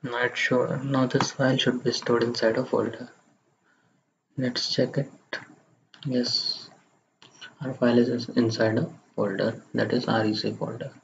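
A man narrating a step-by-step software screencast in a halting, plain-spoken way. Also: medium pitch, flat delivery, vocal fry. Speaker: tutorial presenter. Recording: muffled lo-fi microphone, faint background noise.

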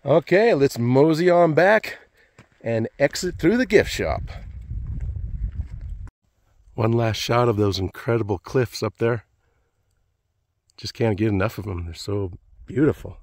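Breathless, gravelly older man. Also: mid-range voice, animated, a little slow, a little mellow, no room sound. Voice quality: husky, gravelly. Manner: folksy, exclaiming, talking to himself in short bursts. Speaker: travel vlogger. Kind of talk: casual hiking vlog narration. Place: outdoors on rocky trail. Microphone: handheld phone at arm's length.

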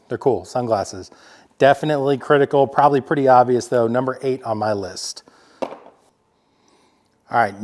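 A man's voice: medium pitch, darkish tone. A man in his forties hosting a vlog, talking in a laid-back, chatty way with lots of fillers from his home workshop. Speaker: vlog host. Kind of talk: casual product rundown. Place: home workshop office, indoors. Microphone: fixed camera, standing at desk.

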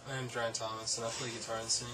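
A man's voice: medium pitch, little pitch movement, fast, background noise. A man in his twenties from Indiana, a band guitarist, talking in a laid-back, mumbling way in a small room. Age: 20s. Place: small room indoors, seated in group.

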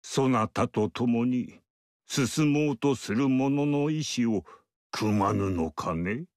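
An actor playing an ageless male mystic sage in a video game, speaking in a measured, archaic and lofty way. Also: mid-range voice, darkish tone, no room sound, studio quality. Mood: aloof. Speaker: game character. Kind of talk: game dialogue acting.

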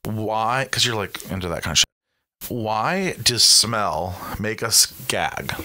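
Guy podcaster, lowish voice that swings all over, chatty n dramatic, dry close mic. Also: animated, slow, sharp tone, dry recording. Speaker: podcast co-host. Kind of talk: casual comedic chat. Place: small home podcast studio. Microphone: close boom microphone with headset.

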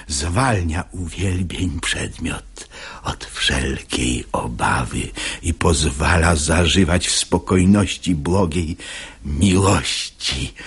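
A very low, husky male voice: a sanctimonious seducer in a radio play. He declaims verse with oily piety and breathy desire, taking his time over the words. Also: a bit bright, heavily acted. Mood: persuasive, seductive. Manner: unctuous, slow declamation. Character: sanctimonious hypocrite man, unctuous, lustfully wooing a married woman. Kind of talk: radio drama acting in verse.

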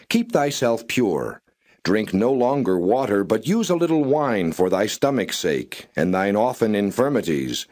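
Low-pitched man reading scripture with lively intonation. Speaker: Bible narrator. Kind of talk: non-dramatized scripture reading.

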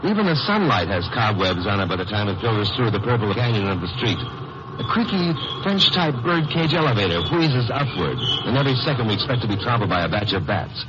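An American actor playing a wry, hard-boiled lawyer-sleuth, sardonic and tongue-in-cheek, in a 1950s radio crime drama. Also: male voice, lowish voice, sing-song, fairly quick. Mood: sardonic narrating. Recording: muffled, noisy 1950s radio recording.

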